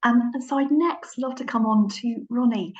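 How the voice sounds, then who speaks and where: A woman's voice: fairly high pitch, moderate pace, darkish tone, dull audio. A woman hosting a webinar, introducing speakers with friendly fillers. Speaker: webinar host. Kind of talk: moderating a webinar.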